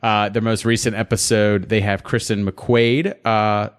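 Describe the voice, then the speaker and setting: A man co-hosting a podcast, chatting casually in a halting way and repeating words. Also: on the low side, flat delivery, clear, resonant tone. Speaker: podcast co-host.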